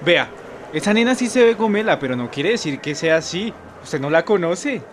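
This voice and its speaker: Man playing a stubborn, higher-voiced lovestruck young Colombian. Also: animated, normal speed, fairly bright tone. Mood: defensive. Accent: Colombian, Bogotá. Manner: colloquial, insistent. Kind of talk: amateur radio soap opera acting. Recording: clearly noisy, urban ambience.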